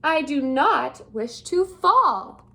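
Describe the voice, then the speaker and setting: A woman's voice: high voice, big pitch swings, slow, creaky, pushed and bright, heavily acted. A woman voicing a fussy scolding fish. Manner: insistent, scolding. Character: fussy, scolding male pet fish in a bowl. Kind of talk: storybook read-aloud.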